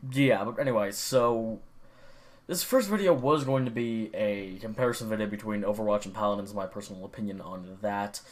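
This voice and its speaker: A young man rambling casually at his computer about gaming, swearing often and full of fillers and restarts. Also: on the low side. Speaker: gaming vlogger.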